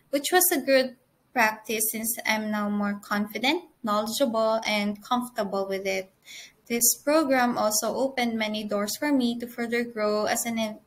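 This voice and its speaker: A young woman, giving a prepared student presentation in an earnest, measured way with occasional restarts. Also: medium pitch, a bit bright. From Philippines. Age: 20s.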